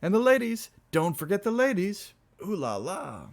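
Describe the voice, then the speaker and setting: Boisterous greedy toastmaster, played by a male audiobook reader, raised pitch, theatrical bellowing, sweeping intonation. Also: huge pitch swings, normal speed, exaggerated voice. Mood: shrieking revelry.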